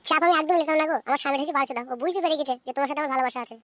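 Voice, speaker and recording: A woman acting as a coy, defiant young Bengali village wife from a comic cartoon. Her voice sits extremely high and races along, wheedling one moment and bickering the next. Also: racing, fairly bright tone, no echo. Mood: complaining, wheedling.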